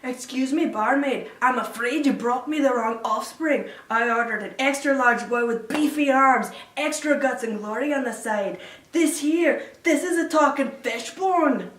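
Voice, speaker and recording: A woman's voice: high voice, a little slow, a bit bright, pitched above the performer's own voice. A woman voicing a parody of a big, scornful Viking father, blustering and complaining. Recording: echoey room, distant mic.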